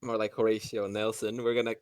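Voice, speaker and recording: A man's voice: lowish voice, little pitch movement, fairly quick, clear, resonant tone, no room sound. A man playing a tabletop game, bantering casually and in character with dry jokes. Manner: dry, joking.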